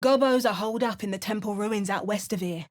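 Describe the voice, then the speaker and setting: Actress playing a British female game hero; dry wit, steady mid-range voice, close booth mic. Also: mid-range voice, fairly quick, natural voice. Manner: dry, wry and matter-of-fact. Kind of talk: video game voice lines. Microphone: close studio mic.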